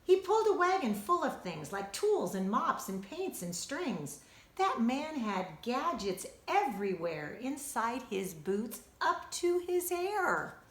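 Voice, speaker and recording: Female storytelling teacher, higher-pitched, sing-song children's read-aloud, echoey room. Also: sing-song, slow pace. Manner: rhythmic, sing-song delivery of rhymes. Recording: roomy, echoing indoor sound.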